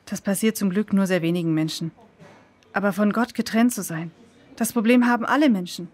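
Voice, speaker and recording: A woman's voice: medium pitch, fairly quick, a little mellow, lightly acted. Sincere, reassuring female friend in a children's radio play acted by a woman. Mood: earnest. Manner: earnest, reassuring.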